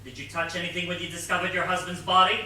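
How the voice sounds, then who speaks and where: A man's voice: sits high, level tone, quick pace, bright, forceful tone. Male actor as earnest inspector, formal, officious, stage murder mystery, very echoey, recorded from far off. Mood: officious, probing. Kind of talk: amateur one-act stage play acting. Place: indoor theatre stage before an audience. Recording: echoey theatre stage from far, faint noise.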